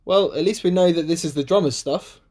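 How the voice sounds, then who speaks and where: A woman's voice: on the low side, fairly quick, mellow, natural voice. A woman acting a cocky, sceptical young adventurer with sarcastic banter in an amateur radio play. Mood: excited, curious. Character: cocky, sceptical, bold young adventurer who loves to mock.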